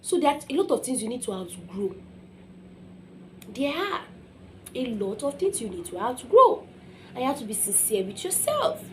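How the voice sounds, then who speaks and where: A young woman with a Nigerian accent gives an informal motivational talk in a fairly high voice. She is emphatic and conversational, acting out quotes and checking that listeners follow. There is noticeable background noise in the recording. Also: big pitch swings, moderate pace, long pauses, a bit bright. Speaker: motivational speaker.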